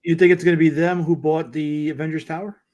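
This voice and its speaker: A man's voice: fairly high pitch, level tone, a little fast, darkish tone, dry recording. A man on a casual pop culture panel who chimes in with dry one-liner jokes.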